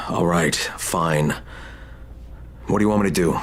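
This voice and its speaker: A man's voice: sits low, slow, fairly bright tone, full character voice. A man playing a blunt, exasperated undercover tough guy in a video game, casual and profane in manner. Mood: impatient. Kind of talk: video game cutscene acting.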